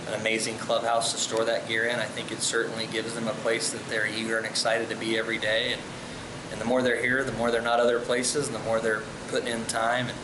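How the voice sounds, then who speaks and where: A man's voice: monotone, quick pace. An American man, a baseball coach, answering in an interview. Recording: echoey room with ventilation rumble.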